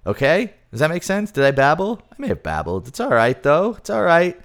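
A man's voice: a little high, huge pitch swings, a little fast. A man hosting a podcast, chatting casually and rambling with restarts and self-deprecating asides. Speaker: podcast host. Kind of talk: casual interview chat.

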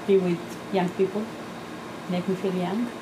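A woman's voice: on the low side, flat delivery, a little slow. A woman, a camp head cook who answers interview questions in short, plain, simple English. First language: Italian. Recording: noisy room.

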